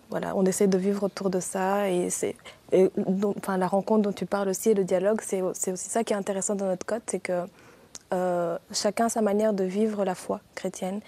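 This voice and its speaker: Calm young woman, flat mellow voice. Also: medium pitch. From Rwanda. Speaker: student group member, guest.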